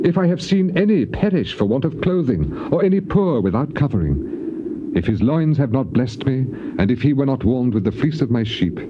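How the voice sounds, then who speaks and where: On a dull, hissy old tape, an actor plays a grieving, righteous man of ancient scripture. His solemn, declamatory voice sits low and swings widely in pitch as he laments and protests his suffering with classical stage intensity. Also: lightly acted. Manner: solemn, declamatory, impassioned rhetorical delivery. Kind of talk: dramatized scripture reading, classical stage acting. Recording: dull, noisy old cassette tape recording.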